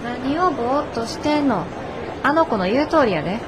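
An actress playing a brisk, nagging daughter in her twenties, blunt and scolding with a Kansai accent, in a radio drama. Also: high voice, expressive, a crowd in the background, background noise. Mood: impatient. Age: 20s. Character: brisk, nagging 24-year-old daughter of a shopkeeper.

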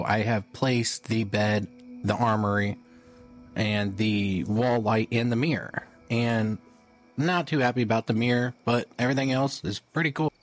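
A man hosting a gaming video, giving casual update commentary in a conversational, rambling way. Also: medium pitch.

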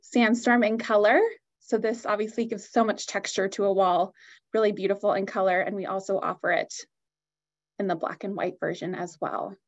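A woman's voice: mid-range voice, steady tone, normal speed, muffled recording. A woman giving an upbeat, enthusiastic product presentation on a video call.

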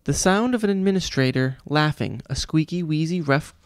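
Guy reading a kids book out loud, voice a bit high, real dry recording. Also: expressive, normal speed, smooth, dry recording. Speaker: audiobook reader, father reading to his child. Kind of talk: scripted storybook narration for children.

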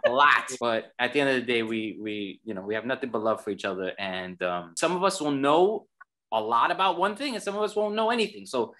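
A man's voice: medium pitch, animated, a little fast, sharp tone. A man in his thirties hosting a casual video call from home, rambling and laughing often. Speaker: host. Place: home room indoors. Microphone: webcam on video call.

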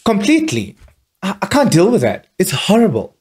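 A man's voice: sits high, wildly swooping pitch, normal speed, a little mellow. An actor playing a concerned, affectionate boyfriend in a naturalistic radio drama, casual in his way of talking. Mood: appalled. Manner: casual, caring, swearing freely.

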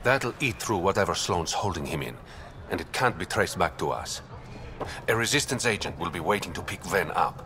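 An actor playing a suave, roguish young smuggler in a video game, smooth, sly and teasing. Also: male voice, low voice, animated, moderate pace, noisy background. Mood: teasing, confident. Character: suave, roguish adult male smuggler and informant. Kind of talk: video game dialogue acting.

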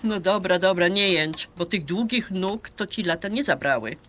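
A woman's voice: on the low side, expressive, normal speed, fairly bright tone, slight background noise. An actress in a radio drama playing a brash, flirtatious Polish woman around sixty who banters and peppers her Polish with English words. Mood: bossy, encouraging.

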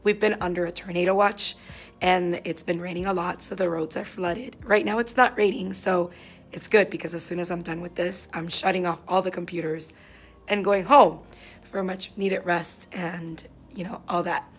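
A woman in her forties, a teacher, chatting casually to camera in a hoarse voice because she is losing it. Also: on the low side, fairly even intonation, normal speed. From South Florida. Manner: chatty, reflective, easygoing. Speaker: fourth grade teacher. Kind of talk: casual vlog talk to camera. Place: classroom and car, indoors. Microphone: phone held at arm's length.

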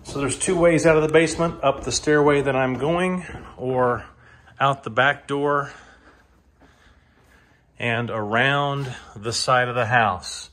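Male auctioneer, mid-pitched voice, informal house tour delivery, halting, leans on repetition for emphasis. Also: fairly bright tone. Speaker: auctioneer giving a walk-through tour. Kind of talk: informal narrated walk-through of sale items. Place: indoors, moving through rooms of a large house. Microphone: handheld phone or camera, held by the speaker.

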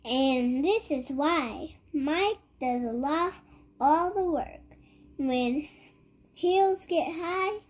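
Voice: sits high, expressive, extremely slow. A child reading a picture book aloud. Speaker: child reader.

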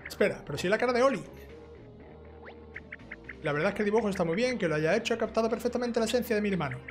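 A man's voice: sits high, expressive, racing. A young man with an accent from Spain, chatting casually through a let's play and reading game dialogue aloud in an informal way. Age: young adult. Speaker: gaming YouTuber. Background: video game music.

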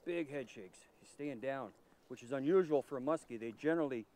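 A middle-aged man hosting a fishing show, commenting with excitement while he fights a fish from a boat on a lake. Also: medium pitch, brisk. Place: outdoors on a lake, in a pontoon boat.

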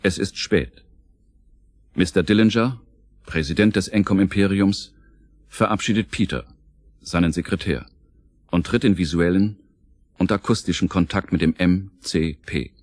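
A man's voice: sits low, normal speed, pauses often, no room sound. A man narrating a radio play from a script in a theatrical, suspenseful, articulate manner. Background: music.

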